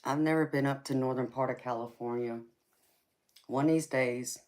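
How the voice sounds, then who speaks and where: A woman's voice: rumbling low, fairly even intonation, normal speed, darkish tone. A woman with a Southern accent hosting a live tarot stream, chatting casually with her viewers. She laughs often and calls people baby.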